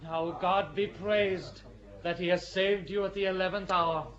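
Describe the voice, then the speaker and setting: A solemn, compassionate male cleric in an audio drama. His voice sits a little high and he speaks persuasively and gravely. The recording is slightly dull. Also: steady tone, a little slow, a bit bright. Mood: urgent pleading. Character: earnest, pitying churchman at a heresy trial. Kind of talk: audio drama acting of a stage play.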